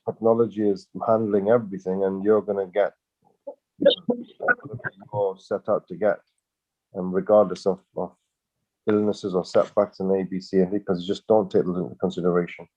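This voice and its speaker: A man with a fairly low, mellow voice talks through his thoughts in a loose, rambling way. He stops and restarts often and leans on fillers as he goes. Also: little pitch movement, normal speed, highs cut off. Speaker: co-host. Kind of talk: casual business chat.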